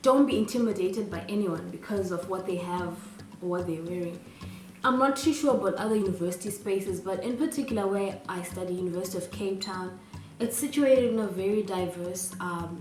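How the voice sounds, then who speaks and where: A woman's voice: medium pitch, echoey room, distant mic. A woman in her late twenties from Zimbabwe, giving earnest, encouraging advice to new university students with rhetorical questions. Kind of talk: advice vlog, direct address.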